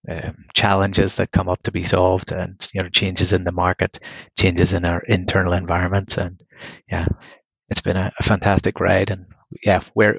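A man from Northern Ireland with a Northern Irish accent, a manager talking through his career in a podcast interview, measured and given to restarting his phrases. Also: low voice, creaky, dry recording, dull audio. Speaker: guest manager.

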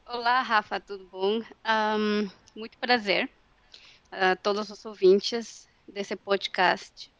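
A woman from Mexico speaking Portuguese with a Mexican Spanish accent, a soft-spoken podcast guest who pauses on fillers. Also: medium pitch, fairly even intonation, lo-fi sound. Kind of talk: casual podcast chat.

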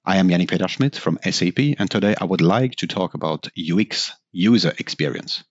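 A man hosting a corporate tech podcast, asking questions in a formal, slightly halting way. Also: deep voice, animated, no echo, dull audio, soft background music. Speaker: podcast host.

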